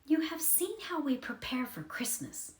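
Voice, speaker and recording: A kindly male spirit guide as a woman reads him speaks in a storybook. The manner is gentle and instructive, with clear room echo around the words. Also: high-pitched, very animated, unhurried, far from the mic, pitched above the performer's own voice. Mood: gently insistent. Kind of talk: storybook character reading.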